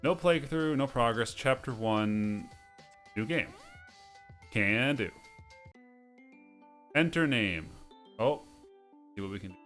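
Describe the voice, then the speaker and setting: Male let's play host, middle pitch, reads game text aloud with the odd stumble, music behind. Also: lively intonation, slow pace, pauses often, fairly bright tone. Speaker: host of a video game playthrough series.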